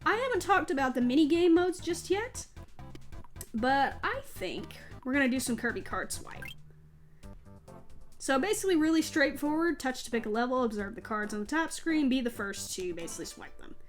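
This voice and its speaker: A woman with a fairly high voice talks through a video game as a let's play host. Her commentary is casual and chatty, with a self-deprecating streak. Game music plays behind her. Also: sing-song, normal speed.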